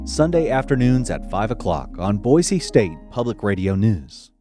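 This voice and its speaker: A man announcing a public radio station and reading off its call signs in a crisp, rhythmic way. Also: fairly low pitch, very animated, measured pace, mellow, soft background music.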